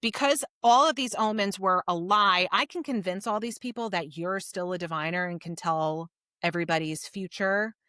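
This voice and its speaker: Woman, podcast co-host, bright crisp voice, casual storytelling, conversational. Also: lowish voice, moderate pace, no echo, studio quality. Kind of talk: casual book discussion, recapping a story.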